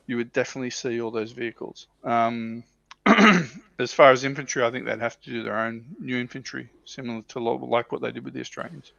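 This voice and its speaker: A man with a bright, forceful voice of average pitch talks through his subject in a casual panel discussion. He clears his throat often. The audio is somewhat dull and lo-fi. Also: flat delivery, brisk. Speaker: livestream panelist on tabletop wargaming. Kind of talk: casual discussion, explaining slides. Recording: dull lo-fi roomy livestream audio.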